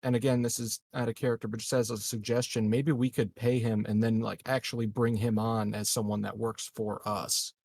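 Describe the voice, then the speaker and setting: Gravelly man, casual game player. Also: mid-range voice, level tone, brisk, few pauses, a little mellow, no echo. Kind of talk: casual out-of-character discussion.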